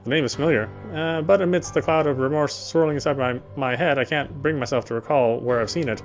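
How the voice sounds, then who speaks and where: A man's voice: medium pitch, fast talker, faint music behind. A man narrating a horror visual novel in the first person, reading steadily and often restarting his words.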